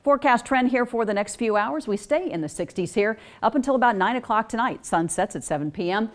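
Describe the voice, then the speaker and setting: A woman's voice: on the high side, expressive, a little fast. An American woman presenting a local TV weather forecast in an ad-libbed, explanatory way.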